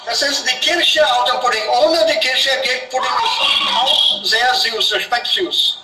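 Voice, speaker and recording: High-voiced hesitant man on a video call. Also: measured pace, pushed and bright, echoey room, far from the mic, faint music behind, poor audio. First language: an Indian language. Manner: hesitant, repeats and restarts words. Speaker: guest professor. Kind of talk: online discussion group conversation.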